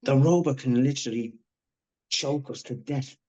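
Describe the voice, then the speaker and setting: A male actor plays a wounded man in the middle of a crisis in a screenplay drama. He pushes his words out urgently and haltingly, with bitter sarcasm. The audio is dull and low in fidelity, like an online call. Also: dark tone, natural voice. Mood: grim, urgent. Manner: halting, urgent, foul-mouthed. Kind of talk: dramatic live screenplay table read.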